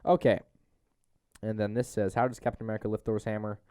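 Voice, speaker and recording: Smooth, mellow low-voiced young man. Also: little pitch movement, quick pace. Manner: enthusiastic, slangy, emphatic. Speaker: podcast host. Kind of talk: casual movie recap chat.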